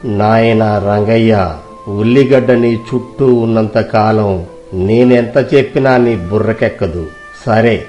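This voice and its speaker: A man acts out a wise male monk from a moral tale, over background music. He teaches patiently in a measured, fatherly way, with calm assurance. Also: medium pitch, natural voice. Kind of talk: Telugu moral story dramatization.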